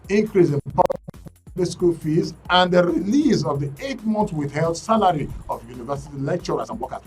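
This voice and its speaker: Higher-voiced Nigerian male news host. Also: recorded from a distance. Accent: Nigerian English. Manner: reads news items with frequent fillers and restarts. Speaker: radio and TV current affairs host. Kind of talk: reading news snippets and moderating a panel.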